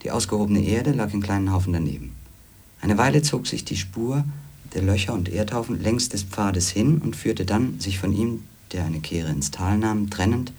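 A brooding former editor in a radio play, with a very low, husky voice. He recounts his wanderings in measured literary sentences, introspective and inward. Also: expressive, darkish tone. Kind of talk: literary first-person radio play narration.

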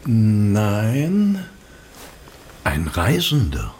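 Suspicious townsman in a radio drama, low voice, deliberate, faint ambience behind. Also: huge pitch swings, darkish tone, a little hiss or hum, heavily acted. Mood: curious. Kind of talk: German radio drama acting.

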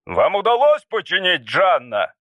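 A man's voice: high voice, wildly swooping pitch, a little slow, no echo, lo-fi sound, pitched above the performer's own voice, heavily acted. A man voicing a male university director who speaks like a seasoned orator. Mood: commanding. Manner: oratorical, commanding.

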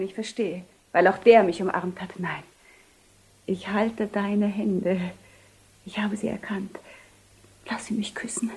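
An actress playing a lovestruck, soulful young prostitute in a theatrical radio drama, fervent and pleading. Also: female voice, fairly high pitch, darkish tone, breathy edge.

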